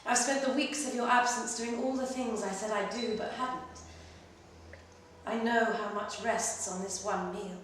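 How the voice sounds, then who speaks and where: A woman performing a literary short story at a live reading, in an expressive, measured way with pauses between phrases. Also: on the high side. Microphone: distant microphone. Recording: very echoey room, distant mic, faint noise.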